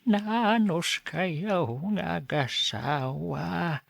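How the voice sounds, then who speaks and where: Woman as elderly dad, frail, kindly, radio drama, gravelly creak, halting, close dry sound. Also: lowish voice, expressive, no room sound, exaggerated voice. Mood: gentle, weary. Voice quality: raspy, creaky. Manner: halting, slow.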